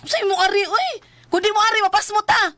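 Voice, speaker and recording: Woman acting a high-pitched nagging wife. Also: steady tone, fast talker, pushed and bright. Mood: angry, insistent. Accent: Cebuano. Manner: scolding, insistent. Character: nagging, bossy, exasperated wife pushing her timid husband. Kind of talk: comic Filipino radio-style drama acting.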